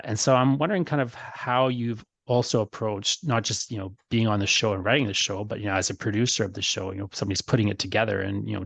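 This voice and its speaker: A man's voice: on the low side, gravelly, dry recording. A man hosting a podcast interview in a casual conversational way, circling discursively toward his questions. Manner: discursive, rambling build-up to questions.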